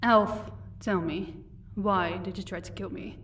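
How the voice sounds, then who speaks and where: A woman's voice: medium pitch, steady tone, measured pace, a bit bright, recorded from a distance, natural voice. Proud female vampire captor for audio drama, played by a woman: commanding, dry sarcasm, off-mic sound with faint noise. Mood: menacing interrogation. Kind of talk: audio roleplay acting.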